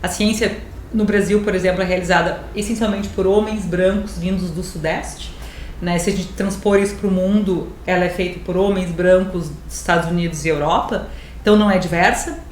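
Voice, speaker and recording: A woman's voice: fairly low pitch, fairly even intonation, a little fast, darkish tone, slight background noise. Earnest woman professor, echoey. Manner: earnest, explanatory. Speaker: professor and writer. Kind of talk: interview talk.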